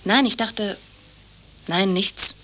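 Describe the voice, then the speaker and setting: An actress playing a polite, hesitant young woman in a radio drama, speaking in halting short questions and trailing off. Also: mid-range voice, bright, forceful tone, a little hiss or hum. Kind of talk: radio play dialogue.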